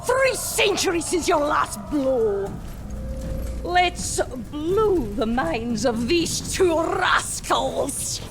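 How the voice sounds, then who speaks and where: A high, wildly swooping voice of an ancient master forger woman in a video game. She is haughty and theatrical, mocking others as she draws out her words. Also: very animated, very slow, over music, full character voice. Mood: gleeful, showy. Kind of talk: video game cutscene acting.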